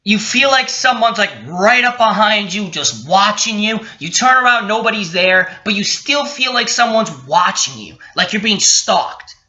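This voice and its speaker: A man's voice: high-pitched, lively intonation, unhurried, few pauses, pushed and bright. A man giving a casual, rant-like commentary as a vlogger, swearing often and sounding indignant and sarcastic. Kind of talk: casual rant-like commentary while reading a report. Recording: dull lo-fi sound.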